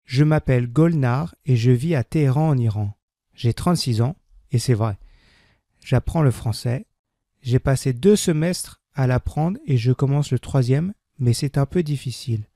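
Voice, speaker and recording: A man with a dark, gravelly voice reads a beginner French text at a measured pace. He repeats each sentence with careful diction. The recording is dry and close, with no room sound. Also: mid-range voice, dry recording. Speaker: French language teacher. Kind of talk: slow scripted reading for learners.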